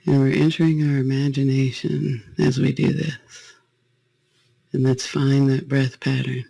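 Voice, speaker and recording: Male guided-meditation leader, gravelly and close in a dry space, gentle spiritual delivery. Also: mid-range voice, flat delivery, unhurried, no room sound.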